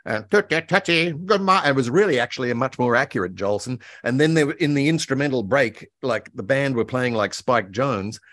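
A man's voice: medium pitch, a little fast, few pauses, dry recording, clean studio sound. An older man talking anecdotally as an interview guest, recorded over a video call from a room at home. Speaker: guest author and voice actor. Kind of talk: enthusiastic anecdotal interview talk about old radio and cartoons.

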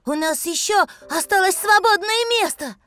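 Woman as a high-voiced excitable little boy. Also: moderate pace. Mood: curious. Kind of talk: children's cartoon dub.

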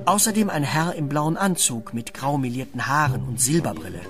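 A man's voice: mid-range voice, expressive, fairly quick. A man giving scripted narration for a children's story.

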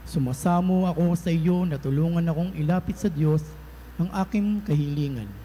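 A man recites a devotional prayer slowly and solemnly. His voice is a little high but mellow and warm in tone. A faint room ambience lingers behind him. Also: slight background noise. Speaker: prayer leader. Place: church.

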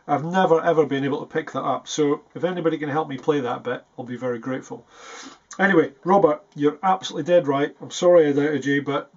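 A man in his forties chatting casually and a little hesitantly to camera as a self-deprecating guitarist, recorded in a small practice room. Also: fairly high pitch. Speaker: guitarist presenting a video. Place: small foam-treated practice room indoors. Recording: dull, muffled sound.

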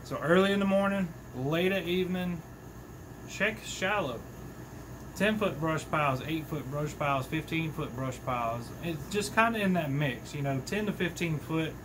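A man's voice: on the high side, normal speed. Folksy man in his thirties, workshop hum. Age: 30s. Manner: chatty, folksy, animated with hand gestures. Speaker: fishing channel host. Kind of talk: casual talking-head tips. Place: small workshop indoors.

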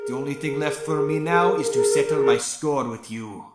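A man's voice: fairly high pitch, moderate pace, echoey room, natural voice. A man playing a vengeful ancient tomb keeper in an anime fandub, speaking in a grave, formal, portentous way. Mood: brooding, scheming. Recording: loud music behind the voice.

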